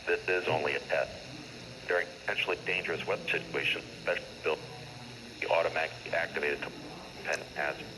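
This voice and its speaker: A man's voice: very deep voice, background noise. A man reading a scripted public service announcement in a formal, robotic way over a staticky weather radio.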